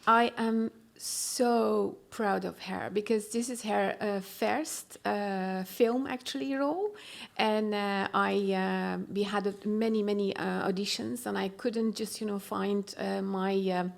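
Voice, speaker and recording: A woman director with a Persian accent, speaking on a festival panel, pausing often with fillers and restarting her phrases. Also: medium pitch, fairly even intonation, vocal fry. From Iran. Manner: hesitant, many fillers and restarts. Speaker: film director.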